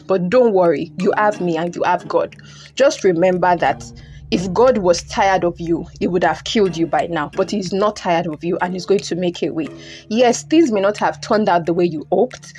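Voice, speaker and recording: A woman's voice: fairly low pitch, a little mellow, lightly acted. Woman acting earnest, protective Nigerian friend, music behind. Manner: earnest, emphatic, repeats phrases. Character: concerned, protective, devout woman friend, indignant yet reassuring. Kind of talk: audio drama acting.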